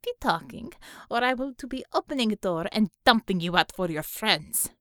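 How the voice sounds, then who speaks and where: A woman's voice: medium pitch, animated, normal speed, fairly bright tone, no room sound, clean studio sound, lightly acted. A woman voicing a ruthless female commissar in an audiobook, with a Russian accent and taunting, threatening broken English. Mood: menacing.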